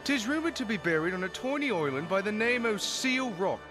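Leering tavern keeper, animated, folksy pirate talk, fantasy game fan dub, music behind. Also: male voice, high-pitched, fairly quick. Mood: sly, confiding. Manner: folksy, mocking pirate-style patter. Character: jovial, leering tavern keeper in a port town.

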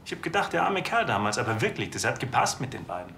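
A brewery man talks fast with a very varied melody, as in a TV crime drama. The room is echoey and faint music sits behind. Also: mid-range voice, sing-song, gravelly, pushed and bright, a little hiss or hum, exaggerated voice. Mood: frank, animated. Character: brisk brewery man being questioned by police. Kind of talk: naturalistic TV crime drama acting.

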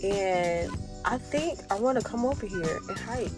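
A woman's voice: mid-range voice, big pitch swings, unhurried, music in the background. A young woman in her twenties chatting casually to a phone camera from inside a car, thinking aloud about her plans. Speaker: vlogger. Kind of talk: casual vlog chat. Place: parked car. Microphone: phone camera at arm's length.

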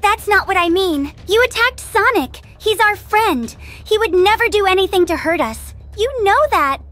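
A woman's voice: very high-pitched, expressive, normal speed, a bit bright, soft background music. A woman voicing a sweet, earnest little rabbit girl with a childlike, pleading manner in a comic dub.

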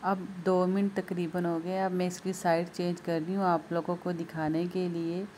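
Woman, lowish voice, patient cooking teacher, home kitchen, faint background noise. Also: flat delivery, normal speed, darkish tone. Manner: patient, repeats instructions, speaks directly to viewers. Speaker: home cooking video host. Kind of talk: step-by-step cooking demonstration.

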